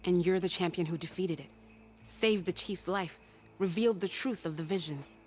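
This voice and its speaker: A woman's voice: fairly low pitch, normal speed, a little hiss or hum, lightly acted. Woman playing a battle-hardened game marshal. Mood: firm, respectful. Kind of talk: video game cutscene acting.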